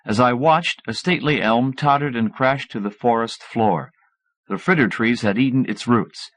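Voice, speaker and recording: A man reading scripted fiction as an audiobook narrator, in an expressive way. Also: medium pitch, moderate pace. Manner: expressive, voices characters in dialogue.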